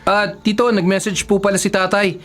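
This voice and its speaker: Polite, quietly exasperated young nephew. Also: male voice, high-pitched, little pitch movement, normal speed. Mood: polite, uneasy. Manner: deferential aloud, sardonic in asides. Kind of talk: Filipino radio drama acting.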